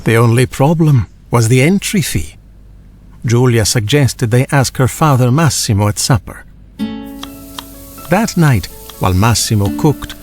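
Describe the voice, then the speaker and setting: A man's voice: mid-range voice, darkish tone. A man reading a children's storybook as a scripted audiobook narrator.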